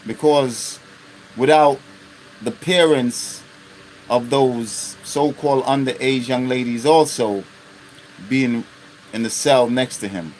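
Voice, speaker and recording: A man's voice: mid-range voice, takes it slowly, pauses often, a little mellow, a little hiss or hum, low-quality recording. A man in his fifties hosting an unscripted live stream, talking bluntly and profanely and often checking in with his listeners. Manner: blunt and profane, with constant rhetorical check-ins.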